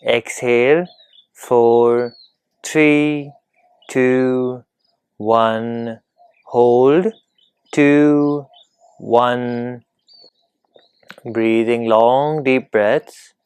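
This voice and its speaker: A man leading a guided meditation in a calm, gentle, unhurried manner, leaving long pauses between phrases. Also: mid-range voice, smooth, no room sound.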